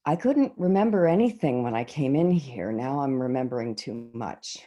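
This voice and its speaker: A woman playing an earnest, shaken middle school teacher in a staged monologue, reflective and halting, with deliberate pauses, over a video call. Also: rumbling low, animated, dark tone. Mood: shaken, subdued.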